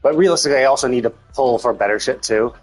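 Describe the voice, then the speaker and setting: A man with a husky, slightly rough voice at an ordinary male pitch, streaming a video game. He talks casually and off the cuff, rambling as he thinks through his options aloud. Music plays behind him. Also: fast talker, low-quality recording. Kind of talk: casual unscripted gameplay commentary.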